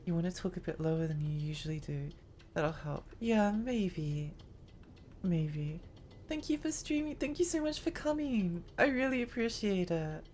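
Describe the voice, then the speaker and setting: A woman's voice: lowish voice, expressive, moderate pace, darkish tone, slight background noise. A woman streaming a game live, chatting in a rambling, giggly way while her voice strains and tires. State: strained, tiring voice.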